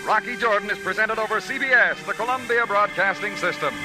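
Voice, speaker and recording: A man's voice: high-pitched, a little fast, music in the background, rough recording. A man announcing on vintage radio, reading sponsor spots and credits with polished, emphatic salesmanship. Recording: old radio broadcast recording.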